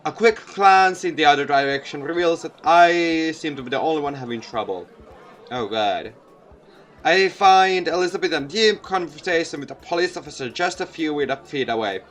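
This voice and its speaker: Expressive higher-voiced man reading a game aloud. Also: normal speed, slight background noise. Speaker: let's player. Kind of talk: reading a visual novel aloud.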